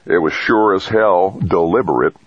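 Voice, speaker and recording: A man's voice: low-pitched, moderate pace, dry recording, dull audio, voice pushed up. A man playing a bleak, blunt, folksy male engineer in an audiobook.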